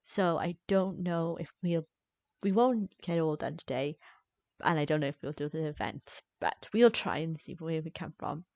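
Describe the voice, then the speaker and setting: A woman gives casual live gameplay commentary in a slightly low voice. She rambles as if half talking to herself. The sound is very dry and a little dull, like a basic streaming setup. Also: normal speed, gravelly, a little mellow, dry recording. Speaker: game streamer.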